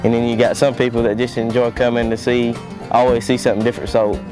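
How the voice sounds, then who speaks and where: A man's voice: mid-range voice, level tone, fast talker. A young man with a Southern US accent giving a documentary interview outdoors on a lapel mic. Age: teens to young adult. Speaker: family auction worker. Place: outdoors by a road. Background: faint guitar music.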